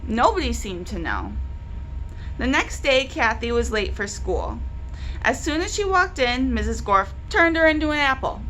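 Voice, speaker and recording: A woman's voice: on the high side, very animated, moderate pace, sharp tone, a little hiss or hum, low-quality recording. A woman storyteller narrating a children's story aloud, expressively and directly to young listeners. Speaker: library storyteller.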